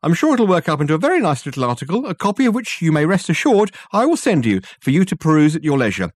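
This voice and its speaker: A man's voice: on the high side, big pitch swings, quick pace, dry recording, clean studio sound, pitched above the performer's own voice. A man voicing an effusive, self-satisfied male detective who talks in flowery, rambling sentences.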